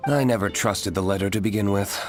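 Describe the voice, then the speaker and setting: A stern, brooding young nobleman played by a man speaks in a low voice. He is terse, grave and deadpan, in serious video game style, over clear music. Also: brisk, close to an everyday voice. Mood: distrustful. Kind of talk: serious game cutscene acting.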